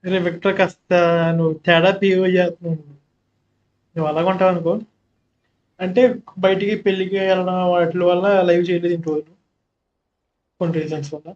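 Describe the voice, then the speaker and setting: A male game streamer with a Telugu accent, chatting casually to his live stream. His voice is high for a man and extremely quick. The mic sounds dull, with game music faintly behind. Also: flat delivery, a little mellow.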